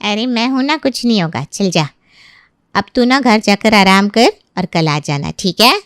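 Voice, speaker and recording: A woman's voice: mid-range voice, animated, measured pace, fairly bright tone, no echo, clean studio sound. A woman voicing a pragmatic, persuasive mother-in-law in a dramatised moral story. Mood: soothing. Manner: soothing, persuasive.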